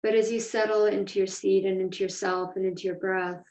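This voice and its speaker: A woman's voice: medium pitch, flat delivery, darkish tone. Echoey, distant woman guiding a meditation. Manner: measured, deliberate, pausing between phrases. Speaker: meditation teacher. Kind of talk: guided meditation, slow instruction. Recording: echoey room, distant mic, dull sound.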